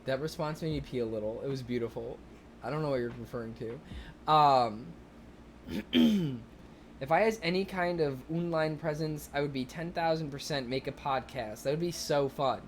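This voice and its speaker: A young man streaming, chatting casually and excitably with viewers, rambling with lots of fillers. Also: mid-range voice, moderate pace, slight background noise. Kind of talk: casual chat reading viewer comments.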